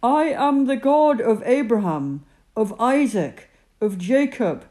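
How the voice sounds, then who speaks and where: Woman voicing God, loud and commanding. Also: on the high side, animated, takes it slowly, voice pushed up. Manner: commanding, reassuring. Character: God, booming voice from a burning bush.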